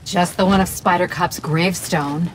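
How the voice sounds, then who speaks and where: A woman acting a curt, guarded young vigilante in a video game, with loud music behind her. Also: on the low side, fairly even intonation, moderate pace, very noisy, close to an everyday voice. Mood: dry, focused. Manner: curt, dry.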